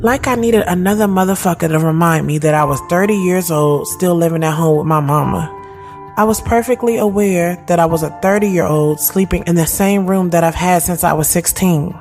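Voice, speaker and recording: Audio drama lead: actress as a sharp-tongued, moody woman of thirty, candid, slangy first-person narration, music underneath. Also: on the low side, moderate pace, lightly acted. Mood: exasperated. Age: 30s. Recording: music bed behind the voice.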